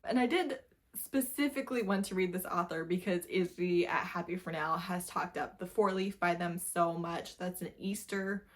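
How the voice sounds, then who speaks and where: A woman's voice: lowish voice, normal speed. A woman giving a casual book review in a giggly, gushing way, often repeating words for emphasis. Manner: giggly, laughs often while talking. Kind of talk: casual monthly reading wrap-up chat.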